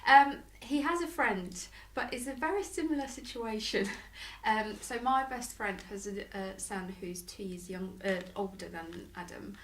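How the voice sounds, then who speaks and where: A woman's voice: medium pitch, a little slow, few pauses, fairly bright tone. A Polish woman, a parent being interviewed, answering casually with many hesitations and restarts. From Poland. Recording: echoey room, mic at a distance, faint noise.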